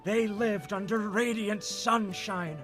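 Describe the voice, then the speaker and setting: A man's voice: high-pitched, steady tone, slow, a bit bright, soft background music. A man playing a young poet in a game, reciting hymns to a goddess in a reverent, lyrical manner.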